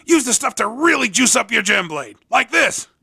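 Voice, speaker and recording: A young, cocky hoverboard race promoter for a video game. His high voice crackles with gravelly fry and moves around in lively swings. He talks in upbeat, slangy sales patter. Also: normal speed, full character voice. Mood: cocky, instructive. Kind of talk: scripted video game character dialogue.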